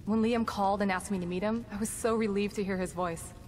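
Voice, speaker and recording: A woman playing an earnest, sympathetic ally in a horror video game, speaking in a serious, measured, sincere way. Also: medium pitch, fairly even intonation, darkish tone, slight background noise, natural voice. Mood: shaken. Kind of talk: dramatic video game acting. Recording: long reverb, music behind voice.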